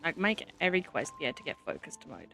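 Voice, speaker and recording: A game streamer talks casually over play. The voice jumps around a lot in pitch, with faint music in the background. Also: sing-song, fast talker, vocal fry, sharp tone, rough recording.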